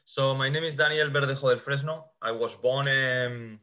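Spanish man with a bright voice on a video call. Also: medium pitch, steady tone, moderate pace. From Spain. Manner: hesitant restarts, repeated words. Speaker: guest expert, sports scientist. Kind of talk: explaining a slide presentation over a video call. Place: small indoor room. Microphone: video call webcam.

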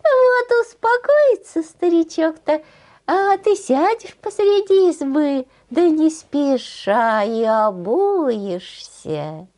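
A woman voicing a kindly, calm old village granny in a folk tale, with soothing, singsong speech. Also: high-pitched, slow, pitched above the performer's own voice.

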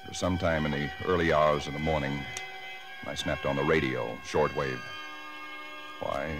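Very deep, haunted husband, old radio. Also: male voice, steady tone. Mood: bleak foreboding. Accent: American. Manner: halting, brooding, intense. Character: haunted, dread-filled husband recounting nameless horrors. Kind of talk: 1940s radio drama acting, first-person narration. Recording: 1940s radio broadcast with loud music.

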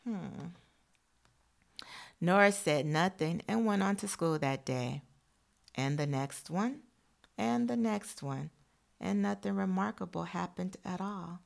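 A woman's voice: lowish voice, slow pace, creaky, long pauses. A woman teacher reading a picture book aloud expressively to young children, adding encouraging asides addressed straight to them.

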